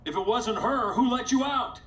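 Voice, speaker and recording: Grave, resolute superhero. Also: male voice, extremely high pitch, normal speed, fairly bright tone, slight background noise. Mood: moved, earnest. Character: resolute, hard-willed adult male alien superhero, imprisoned. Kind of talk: video game cutscene acting.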